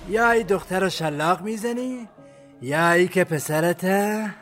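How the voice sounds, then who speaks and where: A man's voice: high-pitched, a bit bright, faint music behind. A man acting a ruthless, domineering armed commander in an audio drama, giving orders and threats in an imperious, menacing manner. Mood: cruel threat. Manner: menacing, imperious, bellowing when angered.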